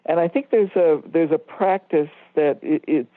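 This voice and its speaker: Low-voiced woman on a phone-like line. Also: dry recording. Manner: halting, searching for words. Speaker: radio show co-host. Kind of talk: conversational, reflective discussion. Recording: thin phone-like line, dry.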